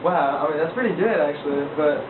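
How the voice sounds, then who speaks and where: A teenage boy casually sharing his faith testimony with a group of listeners, rambling with lots of filler words and asking them questions. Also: a little fast. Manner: rambling with frequent likes, asks the listeners questions. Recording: echoey, distant, tinny low-fi recording with a noisy crowd.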